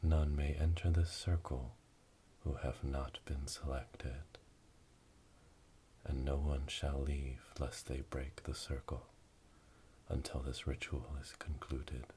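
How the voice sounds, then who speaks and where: A man playing a solemn witch elder leading an initiation rite in a breathy, whispered ASMR roleplay, speaking slowly and ceremonially. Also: little pitch movement, warm tone. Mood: solemn, hushed. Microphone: close binaural microphone. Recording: close binaural, rumbling noise, clicks.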